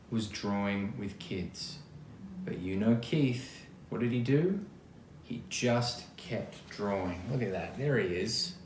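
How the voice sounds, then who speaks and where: Male storybook reader, animated and expressive with chatty asides; roomy sound, faint noise behind. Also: lowish voice. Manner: expressive, acts out quoted lines, chatty asides. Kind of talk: read-aloud of a children's picture book with asides.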